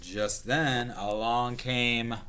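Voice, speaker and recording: A man reading a storybook aloud to young children as their teacher, expressively stretching words and pausing to explain. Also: medium pitch, steady tone, sharp tone, clear, resonant tone.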